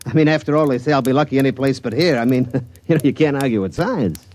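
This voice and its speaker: A man's voice: mid-range voice, fast talker, loud music behind the voice, close to an everyday voice. An actor playing a chatty, simple-minded henchman in a 1950s radio play, rambling in uneducated slang and deferring to his boss. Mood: sheepish. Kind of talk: 1950s radio comedy-drama acting.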